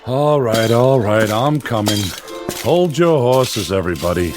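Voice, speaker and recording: An actor playing a weary, cautious survivor of a nuclear war in a radio drama, with a measured, sometimes stern delivery. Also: male voice, mid-range voice, animated, loud music behind the voice, lightly acted. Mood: firm, weary.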